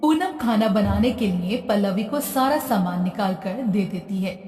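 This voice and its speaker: A woman's voice: medium pitch, animated, moderate pace, a little mellow. Woman, storybook narration, plain delivery, reverberant room with long tail. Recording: roomy echo, distant from mic.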